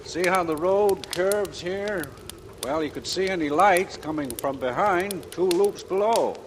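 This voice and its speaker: An actor playing a methodical, calmly certain police captain in a clipped 1940s radio style. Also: male voice, sits high, a little slow. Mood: matter-of-fact. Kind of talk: 1940s radio crime drama acting. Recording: noisy old radio recording.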